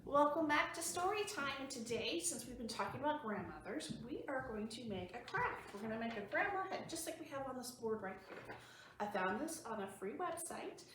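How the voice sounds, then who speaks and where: Woman, children's storytime host, high animated voice, simple explanations, very echoey distant recording. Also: brisk. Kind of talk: children's read-aloud and storytime presenting. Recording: very echoey room, distant mic, faint noise.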